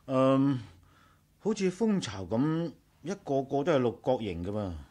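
A man's voice: mid-range voice, big pitch swings, measured pace, mellow. An actor playing a confident, curious male scientist in a Cantonese radio drama, explaining things reassuringly and methodically. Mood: explanatory, absorbed.

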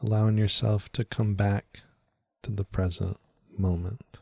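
A man leading a guided meditation in a soothing, unhurried way, speaking in short phrases with long pauses. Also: on the low side, fairly even intonation, gravelly, dark tone, slightly rough, dry recording.

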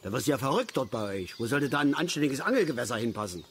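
An actor playing a blunt, rambling, know-it-all older East German angler in a naturalistic radio drama. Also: male voice, medium pitch, very animated, fast. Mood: indignant. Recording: outdoor ambience with birds, clear background noise. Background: birdsong and lakeside nature ambience.

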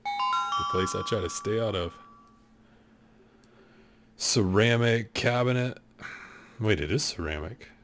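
A man in his thirties with a deep voice, casually commenting while he plays a game show video game at his desk at home. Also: takes it slowly, pauses often, over music. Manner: laid-back, joking, thinking aloud. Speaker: streamer.

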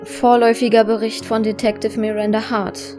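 A woman's voice: on the high side, flat delivery, normal speed, close to an everyday voice. Woman playing a sober detective, music behind. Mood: formal, sober. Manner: matter-of-fact, formal. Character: sober female police detective reading a report. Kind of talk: scripted report reading.

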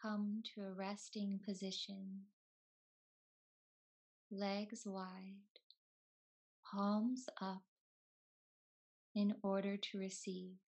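A woman yoga teacher leading a guided meditation in a soothing, unhurried way, in short phrases with long pauses between them. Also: mid-range voice, monotone, gravelly, darkish tone. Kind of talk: slow guided meditation narration.

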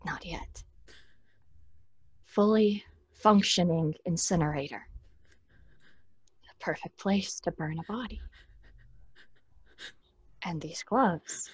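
A young woman playing a bitter, greedy daughter in a horror audio drama, halting and intense. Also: lowish voice, husky, no room sound. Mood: hushed, chilling. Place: small room at home, on video call.

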